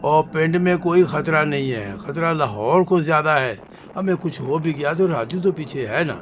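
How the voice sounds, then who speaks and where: A man acting a steady, patriotic father in a radio drama, speaking in an emphatic, deliberate way. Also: fairly high pitch, a little hiss or hum, close to an everyday voice. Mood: reassuring.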